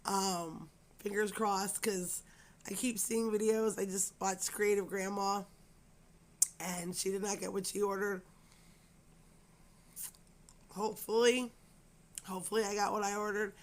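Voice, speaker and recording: A woman hoarse from a cold, her voice raspy and scratchy. She talks to her viewers at home in a loose, rambling way, full of fillers and apologies. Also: mid-range voice, level tone, measured pace, long pauses. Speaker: crochet channel host. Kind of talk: casual chat to camera.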